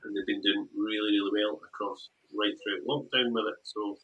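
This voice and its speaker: A man's voice: fairly low pitch, little pitch movement, fairly quick, fairly bright tone, highs cut off. A man with a Scottish accent hosting a show, chatting casually and teasing his guest in a jokey way. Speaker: podcast host. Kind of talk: casual chat.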